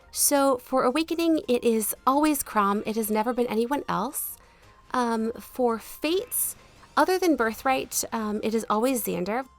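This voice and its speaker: A woman chatting casually as she answers viewer questions in a gaming video, polite and easygoing, her voice not fully back after being sick. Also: on the high side. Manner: chatty, laughs easily, polite. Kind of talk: casual chat over gameplay. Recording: music behind the voice. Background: background music.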